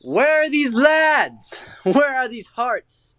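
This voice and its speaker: A man's voice: very high voice, big pitch swings, takes it slowly, a bit bright, smooth, dry recording, clean studio sound, heavily acted. A man playing an exuberant, self-important amateur actor in a Shakespearean audio play, theatrical and bustling in delivery. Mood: excited, calling out.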